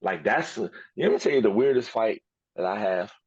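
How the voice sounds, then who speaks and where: Very deep, husky man with a dull, dry sound. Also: lively intonation, moderate pace, dry recording. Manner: emphatic, repeats himself to press a point. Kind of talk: podcast conversation.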